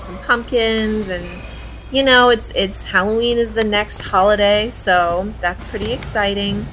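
A woman's voice: mid-range voice, a bit bright, poor audio. A woman in her thirties chatting casually and informally to her viewers as a thrift reseller vlogger. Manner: informal, addresses viewers as guys. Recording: clear background noise, warehouse ambience.

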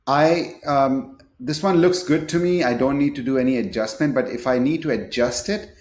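Male demo presenter, mid-pitched, halting step-by-step explainer, roomy sound. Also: darkish tone. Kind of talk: screen-share product tutorial, partly unscripted. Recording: echoey room.

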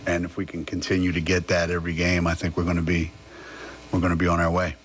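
A man in his sixties, a head coach answering at a press conference, halting with many fillers and restarts into a table mic. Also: low voice, completely flat delivery, slight background noise.

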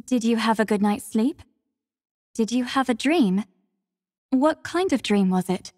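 An actress playing a devoted, composed young female warrior in a video game, speaking with formal, measured, soft courtesy. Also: a little high, expressive, no room sound, studio quality, long reverb tail. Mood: gentle, attentive. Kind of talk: scripted mobile game character lines.

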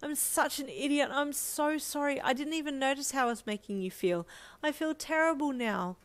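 A woman voicing a shy, earnest young man in a romance story, soft-spoken and hesitant, apologetic as he speaks. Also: medium pitch, fairly even intonation, normal speed, clear, resonant tone, dry recording, lightly acted. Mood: distressed, sorry.

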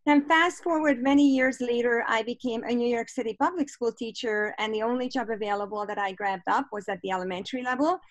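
A woman's voice: fairly high pitch, a little fast, few pauses, no echo. Hungarian-accented woman, middle-aged, encouraging webinar presenter, dry close sound on a video call. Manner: warm, encouraging, reads out chat replies. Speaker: professor leading a webinar. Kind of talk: online teacher workshop presentation. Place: home office on a video call. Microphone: computer mic on a video call.

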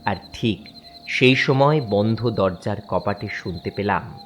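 A man's voice: on the low side, slow, loud music behind the voice. A man narrating a scripted horror story as a storyteller, in a dramatic style.

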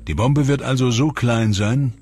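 A man giving measured, explanatory scripted voice-over narration for a documentary. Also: medium pitch, darkish tone. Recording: voice-over with music behind.

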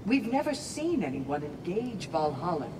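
An actress playing a composed, curious Valkyrie warrior in a video game, formal and thoughtful. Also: female voice, on the low side, very animated, normal speed. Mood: composed, intrigued. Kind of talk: dramatic video game acting. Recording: game audio with background noise.

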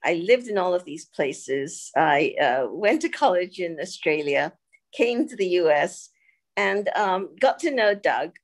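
An older woman from Singapore, giving a reflective presentation over a video call, pausing often with fillers. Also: on the low side, animated. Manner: reflective, halting with many fillers. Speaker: guest speaker.

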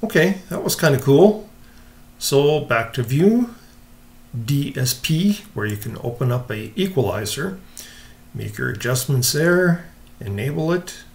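Slow, gravelly-voiced man giving a software tutorial. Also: medium pitch, very animated. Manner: informal, unhurried step-by-step explaining. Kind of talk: casual screen-recorded software walkthrough.

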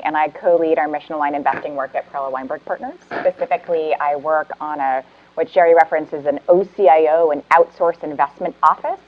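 A woman's voice: deep voice, muffled recording. A woman in her thirties introducing herself on a conference panel as an investment manager, confident and fluent. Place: conference room panel, indoors.

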